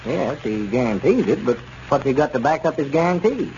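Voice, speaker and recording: A man's voice: medium pitch, very animated, fairly quick, a little mellow. An actor playing a sensible, skeptical small-town man, plain-spoken and wry, in a 1930s radio serial. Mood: skeptical, amused. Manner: plain-spoken, dryly doubtful. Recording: dull, noisy 1930s radio recording.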